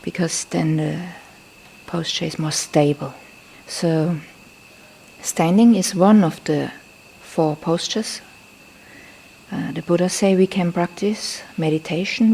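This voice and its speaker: A woman teaches meditation in non-native English, with a lowish voice that creaks at the edges. She speaks very slowly and gently, often softening almost to a breathy whisper. She leaves pauses between short phrases, over faint background noise. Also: rough recording. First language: not a native English speaker. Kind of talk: guided meditation instruction. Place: temple in Thailand. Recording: faint background noise, live field recording.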